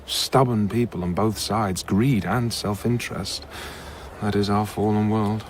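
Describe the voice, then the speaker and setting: Actor as low-voiced, sceptical, courteous scholar. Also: male voice, steady tone, measured pace, a little hiss or hum, lightly acted. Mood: weary. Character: sceptical, courteous male English scholar-diplomat, curious and devout. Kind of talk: audio drama acting.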